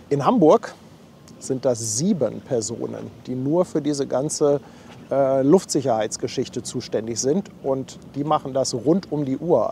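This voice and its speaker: Mellow-voiced man hosting a harbor livestream. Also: mid-range voice, a little hiss or hum. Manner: chatty, thanks viewers and reads out chat. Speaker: livestream host. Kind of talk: casual live commentary. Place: outdoors at a harbor.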